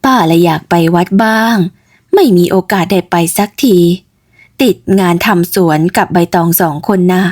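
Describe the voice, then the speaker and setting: A woman's voice: fairly low pitch, expressive, normal speed, a little mellow, voice pushed down. A woman voicing a middle-aged village mother in a storybook tale, motherly and chatty with a fretful streak. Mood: friendly, curious. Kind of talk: storybook character voice.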